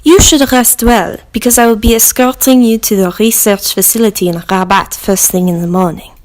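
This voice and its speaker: A woman playing a stern, formal interrogator with a measured, resolute delivery in a dramatic monologue. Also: mid-range voice, natural voice. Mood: grim resolve. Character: stern, anguished interrogator pursuing a captured thief.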